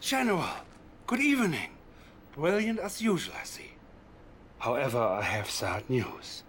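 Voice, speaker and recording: An actor playing a sinister, scheming psychic advisor in a game cutscene, speaking softly and menacingly, often close to a whisper. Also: male voice, medium pitch, wildly swooping pitch, a little slow, a little hiss or hum. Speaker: Soviet military advisor. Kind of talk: video game cutscene acting.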